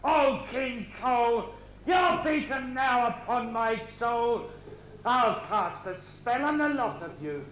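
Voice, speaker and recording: A man playing a menacing, boastful demon king, declaiming and shouting as an amateur pantomime villain. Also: very high voice, expressive, slow pace, heavily acted. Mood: menacing, triumphant. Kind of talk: amateur rhyming stage villain. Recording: echoey, slightly noisy stage recording.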